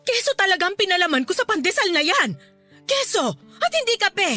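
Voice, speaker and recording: A woman's voice: extremely high pitch, sing-song, brisk, heavily acted. A woman playing a worried, forgetful wife in a radio drama, pleading and apologetic. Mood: insistent. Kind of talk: Filipino radio drama acting. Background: soft background music.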